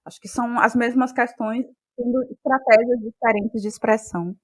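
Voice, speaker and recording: A woman's voice: fairly high pitch, steady tone, moderate pace. A Brazilian woman with a Bahian accent answers as an interview guest, talking reflectively and searching for words, repeating phrases for emphasis. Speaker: guest, playwright and actress.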